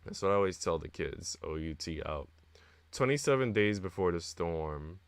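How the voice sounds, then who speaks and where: A man's voice: deep voice, moderate pace, no room sound. A man live streaming, switching between casual chat with viewers and reading story text aloud. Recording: music playing behind the voice. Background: background music.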